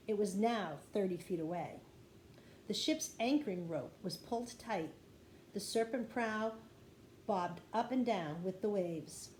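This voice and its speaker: A woman reads a children's adventure book aloud with expressive storytelling. Her voice sits at a middling pitch, recorded in an echoey room with faint noise behind it. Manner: animated storytelling with acted dialogue. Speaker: teacher reading aloud to kindergarteners. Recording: roomy echo with light background noise.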